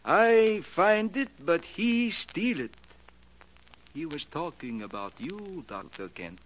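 High-voiced foreign handyman in old radio drama. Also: animated, takes it slowly, fairly bright tone, heavily acted. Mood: sly, threatening. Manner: stilted, broken English with simple present tense. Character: foreign observatory handyman who believes in astrology. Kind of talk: 1940s radio acting. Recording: noisy, clicky 1940s radio recording.

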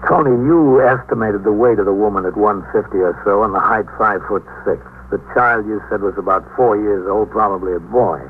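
A low-pitched American actor playing a police captain comes through a narrow, tinny old radio broadcast. He is steady and businesslike, reporting events in measured, matter-of-fact radio drama style. A clear layer of noise sits on the recording. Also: close to an everyday voice. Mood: patient, firm. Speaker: narrator and lead actor. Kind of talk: 1950s radio police drama acting and narration. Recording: muffled, noisy 1950s radio broadcast.

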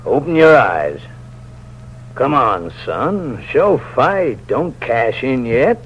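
Slow-talking, dry, blunt sheriff. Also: male voice, mid-range voice, big pitch swings. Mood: urgent coaxing. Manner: deliberate, dry, fatherly address. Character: slow, unhurried, dryly blunt male county sheriff. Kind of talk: 1940s radio crime acting. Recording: muffled old radio, faint hum.

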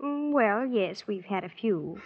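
A woman's voice: mid-range voice, lively intonation, unhurried, breathy edge, dry recording. An actress playing a naive, spoiled young heiress in a vintage radio drama, with a girlish, airy, petulant manner. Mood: coyly dreamy. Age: young adult. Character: naive, breezily callous, romantic young rich heiress. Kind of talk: 1940s-50s radio drama acting. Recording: dull, dry vintage radio recording.

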